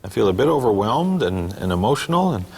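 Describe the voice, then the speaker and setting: An easygoing small-town gas station guy, wry and a little awkward. His voice swings loosely in pitch and has a husky grain, with hesitant sitcom timing. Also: very animated, fairly quick, darkish tone, full character voice, low-quality recording. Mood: sheepish, wry. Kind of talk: sitcom script table read.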